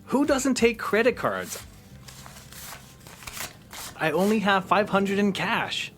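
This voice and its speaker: A man's voice: high voice, animated, takes it slowly, fairly bright tone, faint music behind, full character voice. A man playing a sarcastic, exasperated friend who is easily incredulous, in a comedic audio drama.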